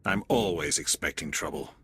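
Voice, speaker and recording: An actor playing a male turian alien agent in a video game cutscene, calm and clipped with quiet authority. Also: rumbling low, moderate pace, husky, no echo, full character voice. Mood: measured. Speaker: elite agent observing a mission.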